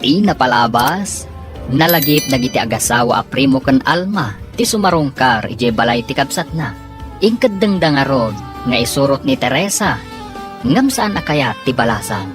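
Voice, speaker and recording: A woman's voice: a bass voice, animated, normal speed. A woman announcing and narrating a radio drama in a formal, scripted manner. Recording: radio broadcast over theme music. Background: theme music.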